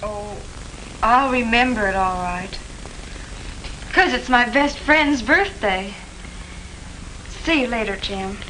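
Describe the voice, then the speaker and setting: An actress playing an earnest, wounded high school girl in an old educational film, speaking with plaintive emotion. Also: slow, pauses often, sharp tone. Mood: subdued hurt. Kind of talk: 1950s educational film acting. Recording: noisy 1950s film soundtrack.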